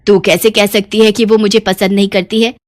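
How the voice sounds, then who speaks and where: A woman's voice: mid-range voice, little pitch movement, brisk, natural voice. Woman acting older mother-in-law, village cartoon story, greedy, scheming, pushy, wheedling, self-satisfied. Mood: grumbling.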